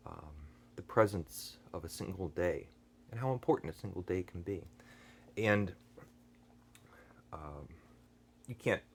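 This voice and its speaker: A man hosting a book channel, talking through a review unscripted, hesitant and earnest, with many fillers and restarts and frequent throat clearing. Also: sits low, darkish tone, slight background noise. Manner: thoughtful and earnest.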